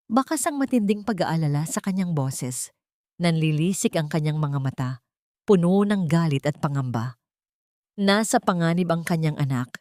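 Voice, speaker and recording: Female narrator for audio drama: lowish voice, suspenseful and solemn, very dry sound. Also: dark tone, no room sound. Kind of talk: scripted dramatic story narration.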